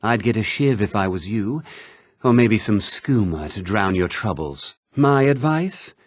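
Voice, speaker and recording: An actor voicing blunt, haughty men of a fantasy game world. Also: male voice, on the low side, animated, measured pace, no room sound, clean studio sound. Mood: gruff, blunt. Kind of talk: fantasy game character dialogue.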